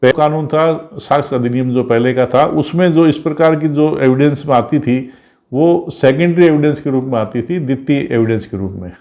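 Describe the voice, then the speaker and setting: A man's voice: medium pitch, moderate pace, darkish tone. A man giving an informal explanatory lecture as a law teacher, didactic and repetitive, posing questions and answering them.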